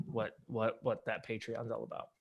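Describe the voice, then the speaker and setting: A man's voice: lowish voice, monotone, normal speed, gravelly, slightly rough. A man with a slight lisp hosting a podcast, chatting casually about games. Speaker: podcast host. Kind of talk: casual gaming podcast chat.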